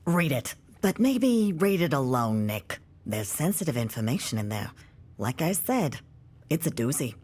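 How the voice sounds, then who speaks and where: An actress playing a wry, gruff janitor with aches, blunt, folksy and conspiratorial, in an audio drama. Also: female voice, a bass voice, big pitch swings, unhurried, darkish tone, full character voice. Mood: secretive.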